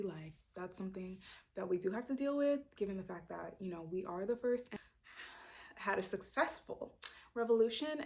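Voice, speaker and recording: A woman in her early twenties from Brooklyn, New York, chatting casually to camera as she introduces her channel, talking freely with many fillers and sudden jumps in pitch for emphasis. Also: a little mellow. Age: 20s. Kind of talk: casual direct-to-camera chat.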